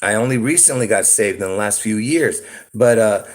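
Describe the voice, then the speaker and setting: A man's voice: lowish voice, normal speed. A man from Lebanon rambling through a solo livestream, often stopping to ask "okay?" or "all right?" and chuckling between thoughts. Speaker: YouTube livestreamer. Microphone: phone.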